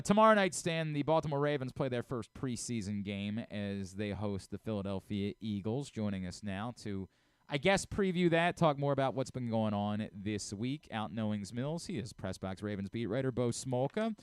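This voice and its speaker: Give me a male sports talk host with a smooth, crisp voice in a dry studio, emphatic and opinionated. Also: lowish voice, animated, moderate pace, no room sound.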